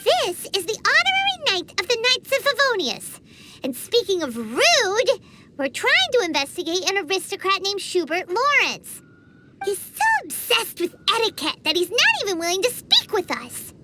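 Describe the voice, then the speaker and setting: A woman's voice: big pitch swings, normal speed, heavy background noise. A woman voicing a tiny, chatty female companion sprite in a game, who flusters easily and speaks of herself in the third person. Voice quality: very high, squeaky. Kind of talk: game voice acting. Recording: game audio with loud music behind.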